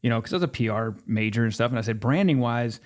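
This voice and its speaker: Male, 50s, medium pitch. Interview guest spinning anecdotes informally, voicing both sides of remembered exchanges. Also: fast talker. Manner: animated retelling with quoted dialogue. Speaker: podcast guest, former sports mascot performer. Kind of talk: casual storytelling in an interview.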